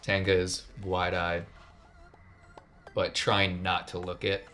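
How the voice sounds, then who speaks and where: Low-voiced man running a tabletop game. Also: completely flat delivery, takes it slowly, pushed and bright, faint music behind. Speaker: game master. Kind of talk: casual tabletop game narration.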